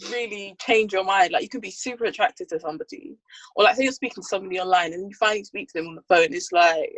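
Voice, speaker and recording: A woman's voice: mid-range voice, quick pace, fairly bright tone, no room sound, poor audio. A woman co-hosting a podcast, chatting casually in a hesitant, thoughtful way with many fillers. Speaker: podcast co-host. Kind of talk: casual chat.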